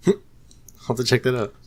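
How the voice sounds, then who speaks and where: A man's voice: mid-range voice, flat delivery, a little slow, breathy edge. A man chatting casually about video games as a guest on a podcast. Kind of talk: casual gaming chat.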